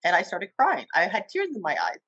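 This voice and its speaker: A woman from Cuba, a television host, telling her story in an interview with an enthusiastic, animated manner. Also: medium pitch, fairly quick, husky, no echo, dull audio. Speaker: TV host guest. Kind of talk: interview conversation.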